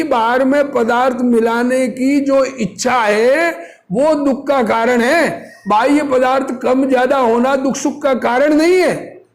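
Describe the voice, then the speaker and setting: A man giving an informal sermon, reading from a text and explaining it didactically, repeating phrases and posing rhetorical questions. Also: very high voice. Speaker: religious teacher reading and explaining a discourse.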